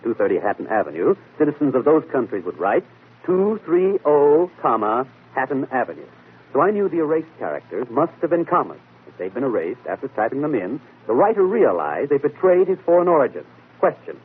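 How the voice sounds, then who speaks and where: A man's voice: medium pitch, sing-song, brisk, husky. A man playing a confident, methodical amateur detective in 1940s radio mystery style, explaining deductions by posing and answering questions. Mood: brisk, assured deduction. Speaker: detective and show host. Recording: muffled, lo-fi vintage radio broadcast.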